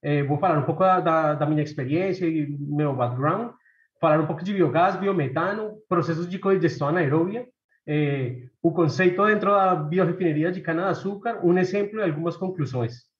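A Colombian-accented man in his thirties, native Spanish speaker, gives an academic presentation in a hesitant, stop-start way. His voice sits fairly high for a man. The sound is dull and lo-fi, with a roomy echo. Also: brisk. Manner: hesitant, many fillers and repeated words. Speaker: researcher presenting a talk. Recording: echoey room, dull lo-fi sound.

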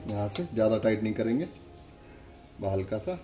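A man's voice: lowish voice, expressive, fairly quick, warm tone, faint music behind. A man narrating a casual, step-by-step product demonstration in an instructional way, pointing things out as he goes. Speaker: product demonstrator and channel host.

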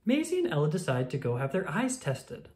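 A woman narrates a picture book for young children. Her voice sits low for a woman, with lively rises and falls, and she paces the sentences clearly. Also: brisk. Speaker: storybook reader. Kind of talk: children's read-aloud narration.

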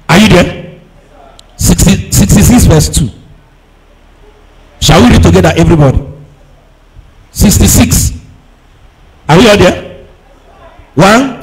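A man preaching a charismatic sermon, emphatic and exhorting, repeating phrases, firing questions at his congregation and at times breaking into shouts. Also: high voice, rough recording. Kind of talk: charismatic sermon with call and response.

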